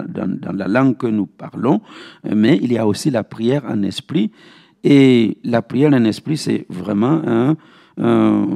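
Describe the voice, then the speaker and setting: Male pastor for Bible study teaching; creaky, relaxed voice, didactic and repetitive for emphasis. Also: mid-range voice. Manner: didactic, repeats key phrases for emphasis. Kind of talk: Bible teaching with verse citations.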